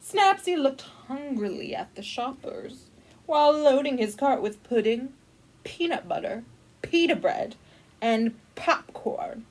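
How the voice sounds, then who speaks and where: A woman voicing a pompous, melodramatic grand lady who narrates a storybook with haughty, drawn-out drama. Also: sits high, expressive, heavily acted, poor audio. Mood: grandly ominous.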